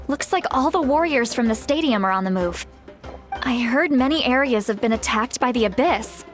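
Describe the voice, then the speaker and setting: Woman acting an earnest young warrior, high voice. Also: close to an everyday voice. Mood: embarrassed, urgent. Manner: sincere, resolute. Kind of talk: English video game voice acting. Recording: soft game music behind the voice.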